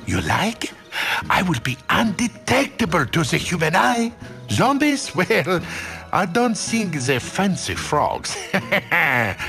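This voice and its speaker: Self-important game frog, creaky and slow-paced. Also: male voice, a little high, sharp tone, full character voice. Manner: pompous, theatrical. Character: pompous, theatrical male frog in a game. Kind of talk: animated video game character acting.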